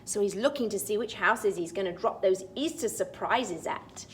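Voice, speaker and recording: A woman storytime teacher reading a picture book aloud to young children, expressive and sing-song, with chatty asides. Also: medium pitch, normal speed.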